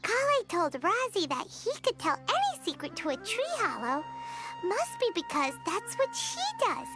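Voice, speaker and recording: A woman voicing a tiny, chirpy, childlike fairy companion in a video game, squeaky and blunt. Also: very animated, normal speed. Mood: gentle, observant. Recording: music behind the voice.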